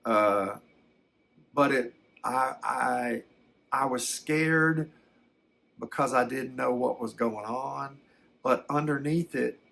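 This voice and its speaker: A mature man with a medium-pitched voice tells a personal story without a script. He speaks slowly and reflectively, often restarting as he searches for the right words. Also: vocal fry, pushed and bright. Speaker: storyteller recounting a personal experience. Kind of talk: unscripted personal recollection.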